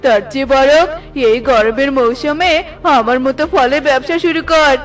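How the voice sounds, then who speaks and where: A woman's voice: high-pitched, measured pace, faint music behind. A woman voicing a greedy, scornful male crow who sells fruit in a children's cartoon. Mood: mocking. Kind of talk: children's moral-story cartoon.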